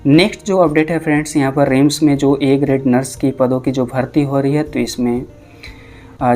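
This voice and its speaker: An Indian man hosts a news update in a mellow, slightly dark voice of middling pitch. He reads out reports and rephrases points to explain them, with music playing clearly behind him. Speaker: host of an exam and job news channel.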